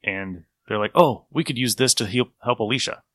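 A man's voice: medium pitch, fairly quick, fairly bright tone, no room sound, clean studio sound. A man hosting a show with a casual, wry recap chat. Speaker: podcast host.

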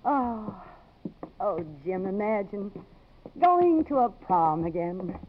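An actress playing a poised, principled, outspoken woman in a 1950s radio drama, measured and articulate. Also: medium pitch, sing-song, slight background noise. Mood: gracious. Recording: muffled old radio broadcast.

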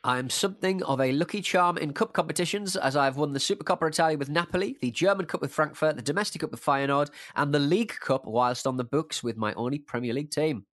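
A man's voice: on the high side, fast, hardly any pauses, fairly bright tone, no echo, studio quality. A man hosting a casual football podcast, playfully reading out quiz clues in a jokey manner. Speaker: podcast host and quizmaster.

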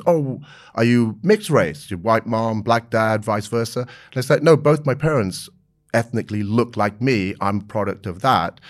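A man around sixty, born in South Africa, talking openly as an interview guest, laughing easily and restarting his sentences. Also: lowish voice. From born in South Africa, raised in the United Kingdom. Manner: friendly and open, laughs easily, with frequent fillers and restarts. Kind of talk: candid conversational interview.

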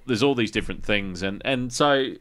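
An Australian man hosting a gaming podcast, chatting casually and haltingly with plenty of swearing and colloquial turns. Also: medium pitch, fairly bright tone, smooth. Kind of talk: casual chat.

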